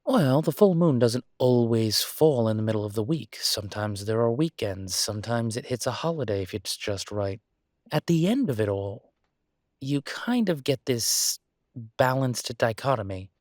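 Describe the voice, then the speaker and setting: A man's voice: medium pitch, lively intonation, normal speed, darkish tone, no room sound, lightly acted. Laid-back boyfriend played by a man, close dry sound. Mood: reassuring, matter-of-fact. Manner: casual, reassuring, chuckling, folksy turns of phrase. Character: easygoing, supportive human boyfriend of a werewolf. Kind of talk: intimate one-sided boyfriend roleplay audio.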